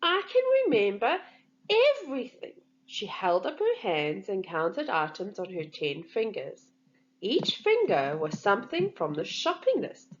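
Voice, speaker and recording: A woman reading a children's story in an expressive, deliberate way for young listeners, on a slightly dull recording. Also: medium pitch, a little hiss or hum.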